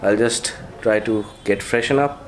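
A man's voice: fairly low pitch, measured pace. A man in his thirties with an Indian English accent, chatting into a phone camera held at arm's length as a travel vlogger. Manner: chatty, talks straight to a handheld camera. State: tired near the end. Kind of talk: casual travel vlog. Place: outdoors by roads, in a car and in hotel rooms. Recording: outdoor traffic noise.

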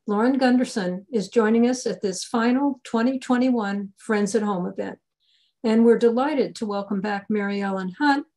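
A woman's voice: a little high, a little mellow, poor audio. An older woman, president of a library group, reading a scripted welcome announcement over a video call.

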